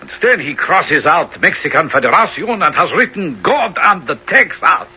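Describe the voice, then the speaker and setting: An actor playing a haughty, commanding Mexican general in a theatrical, imperious radio drama style. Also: male voice, fairly high pitch, sing-song, normal speed, bright, forceful tone, slightly rough, exaggerated voice. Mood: haughty, scornful. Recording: muffled, noisy late-1970s radio recording.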